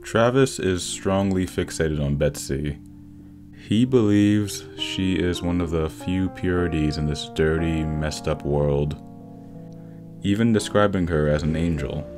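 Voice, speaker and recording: A man's voice: deep voice, a little slow. A man narrating a scripted film analysis as a video essay voice-over. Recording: voice-over with background music. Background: instrumental music with plucked guitar.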